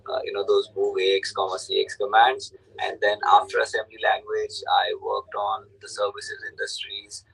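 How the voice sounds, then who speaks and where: Bright, husky middle-aged man hosting. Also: lowish voice, level tone, normal speed, hardly any pauses, low-quality recording. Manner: rambling, repeats "you know". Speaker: show host. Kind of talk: casual chat interview.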